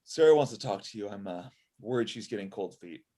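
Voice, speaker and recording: A man playing a low-key, casual work partner in a comedy table read over a video call. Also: animated, a little fast, mellow. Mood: worried. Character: laid-back male work partner of a robot. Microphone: video call computer microphone.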